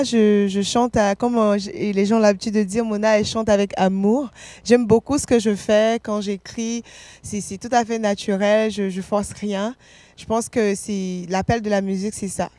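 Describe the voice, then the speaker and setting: A woman's voice: medium pitch, mellow, dry recording. A woman from Côte d'Ivoire, a singer-songwriter being interviewed, answering casually with many fillers, restarts and frequent laughs.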